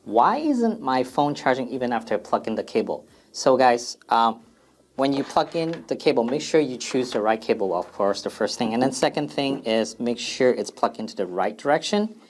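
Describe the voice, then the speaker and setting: A man's voice: medium pitch, animated, moderate pace, slight background noise. A man presenting an informal product FAQ demonstration in a plain, step-by-step instructional way.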